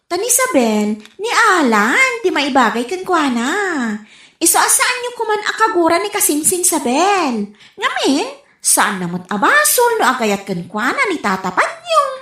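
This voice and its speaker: A bossy young Ilocano niece acted by a woman speaks in an extremely high, sharp voice that swoops about. Her delivery is emphatic and drawn out, in comic radio drama style, recorded in a roomy space. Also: very animated, normal speed, heavily acted. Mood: insistent. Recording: roomy, reflective sound.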